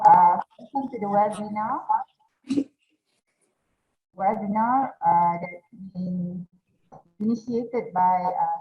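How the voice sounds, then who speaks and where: A woman giving a formal welcome speech on a webinar, hesitant with many fillers. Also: medium pitch. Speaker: university welcoming speaker. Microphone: video call. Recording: roomy, lo-fi call audio.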